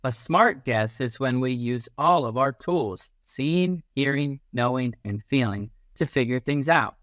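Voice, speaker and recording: A man reading a children's picture book aloud as a teacher, in an expressive, instructive manner. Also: medium pitch, measured pace, dry recording, clean studio sound. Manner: animated, instructive, acts out little sounds and quotes.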